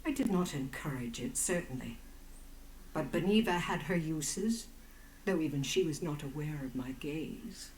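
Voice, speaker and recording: A powerful matron of a fantasy order, poised and calculating. Her voice is on the low side, cool and deliberate. It rings in a roomy space with faint ambient noise. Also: expressive, a little mellow. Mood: coolly watchful. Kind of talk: video game dramatic dialogue.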